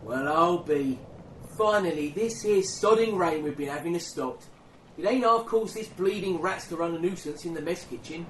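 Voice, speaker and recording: A man plays a cheeky working-class English soldier in a wartime radio play. His high voice has a joker's manner, and it comes from a little way off in a roomy space. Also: moderate pace, fairly bright tone, close to an everyday voice. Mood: cheeky, teasing. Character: cheeky, joking English soldier bringing tea round. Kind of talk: dramatic dialogue in an audio play. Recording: roomy, distant, faintly noisy recording.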